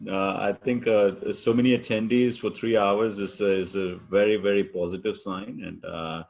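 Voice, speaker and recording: Low gravelly man, halting co-host. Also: fairly even intonation, darkish tone, lo-fi sound. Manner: halting, many fillers. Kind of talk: moderating an online session. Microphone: video call.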